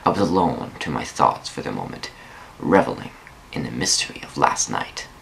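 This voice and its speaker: A man's voice: extremely low pitch, expressive, moderate pace, creaky, a bit bright, a little hiss or hum, rough recording. A man giving a theatrical dramatic reading of a first-person story, drawing out words for emphasis. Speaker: amateur dramatic reader of online fan fiction.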